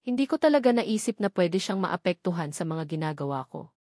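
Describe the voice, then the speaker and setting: A woman's voice: on the low side, big pitch swings, a little fast, a little mellow, no echo. Filipino woman narrating, close dry sound. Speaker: audiobook narrator. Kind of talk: scripted romance novel narration.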